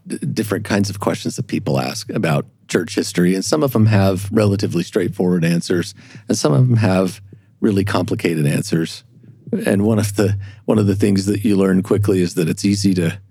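A man speaking as an expert podcast guest, explaining carefully and haltingly, often repeating words as he goes. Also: sits low, warm tone, husky. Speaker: podcast guest, church historian. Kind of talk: explanatory discussion.

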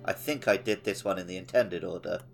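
A man's voice: deep voice, brisk, gravelly, over music. A man co-hosting a casual gaming podcast, speaking in a dry, wry way. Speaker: podcast co-host.

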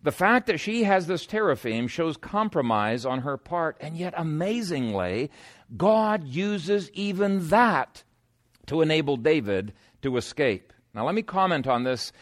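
A man's voice: on the high side, sing-song, unhurried. A man preaching an expository sermon in an emphatic, conversational teaching manner.

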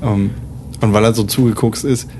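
Man improvising a story haltingly. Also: on the low side, fairly even intonation, background noise. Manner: halting, thinking aloud. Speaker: tabletop role-playing player. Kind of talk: casual improvised storytelling among friends.

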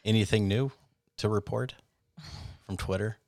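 A man's voice: lowish voice, steady tone, measured pace, creaky, a crowd in the background. A man hosting an interview, asking curious, short prompting questions. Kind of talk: casual interview.

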